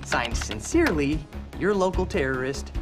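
A man's voice: a little high, lively intonation, close to an everyday voice. Cocky American hacker, played by a man, music behind. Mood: mocking, gleeful. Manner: smug, swearing, drawn-out emphasis. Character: cocky, sarcastic male computer hacker bossing his assistant. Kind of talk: live-action thriller screen acting.

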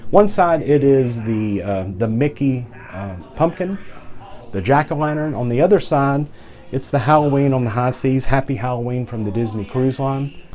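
A middle-aged man reviews products in a casual, rambling way, with fillers and restarts. His voice is mellow and relaxed at an ordinary pitch. He speaks close to an arm's-length camera inside a big cruise ship atrium. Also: normal speed. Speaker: travel vlogger. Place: atrium balcony of a large cruise ship, indoors. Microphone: camera held close at arm's length.

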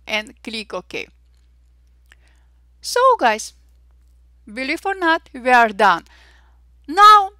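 A woman with an Armenian accent hosting a software tutorial, cheerful and chatty with frequent fillers and little exclamations. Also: fairly high pitch, dry recording, studio quality. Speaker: Photoshop tutorial host. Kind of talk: screen-recorded software tutorial.

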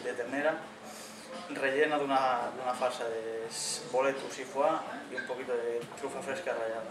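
A man, a head chef answering interview questions in a steady, measured way in a restaurant dining room. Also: medium pitch. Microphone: far camera mic. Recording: echoey room from far off, with background noise.

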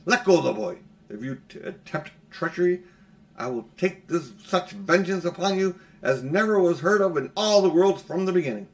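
Man as proud witch, commanding, grandiose, threatening, lifted pitch, storybook. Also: lively intonation, normal speed. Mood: furious, threatening. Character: proud, menacing, imperious witch, a woman.

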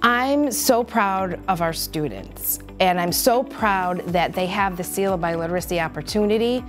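A woman's voice: medium pitch, moderate pace, soft background music. Enthusiastic middle-aged woman teacher. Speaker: language teacher. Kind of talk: interview. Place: classroom with bookshelves.

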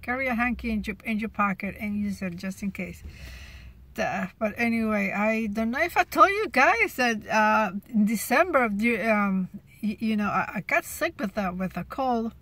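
A woman's voice: mid-range voice, animated, moderate pace, a bit bright, dry recording. A woman in her fifties chatting casually from a parked car about her job as a cashier, often restarting her sentences, filmed on a phone held at arm's length. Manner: chatty, restarts often, laughs at gross moments. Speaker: cashier sharing her workday. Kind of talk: casual car vlog chat. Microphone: phone camera at arm's length.

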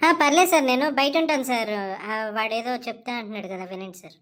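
A woman's voice: on the high side, fast talker, fairly bright tone, close to an everyday voice. A woman voicing a meek, good-natured young male office manager in a comedy cartoon, hesitant and deferential in the way of talking. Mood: nervous, deferential. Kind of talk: Telugu comedy cartoon dialogue.

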